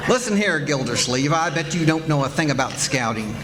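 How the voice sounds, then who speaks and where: A man's voice: fairly high pitch, lively intonation, brisk, very noisy, close to an everyday voice. A man playing a blustery, boastful, argumentative husband in 1940s-style radio comedy, with a folksy way of talking. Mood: scornful, bickering. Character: blustery, boastful husband Fibber McGee, a stubborn bickerer. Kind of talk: 1940s radio comedy acting before an audience.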